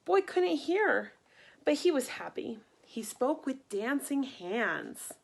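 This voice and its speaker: A woman reading a picture book aloud for children in an expressive, deliberate way, acting out the story. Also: high-pitched, creaky, slight background noise. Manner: animated, deliberate, acts out sound effects. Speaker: storybook reader.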